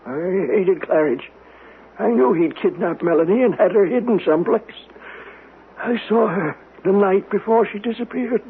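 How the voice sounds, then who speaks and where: High-voiced vengeful very old man. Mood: solemn insistence. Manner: solemn, deliberate, fervent. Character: vengeful, devout man over ninety, haunted by lost love. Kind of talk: radio mystery drama acting. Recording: noisy, tinny old radio broadcast recording.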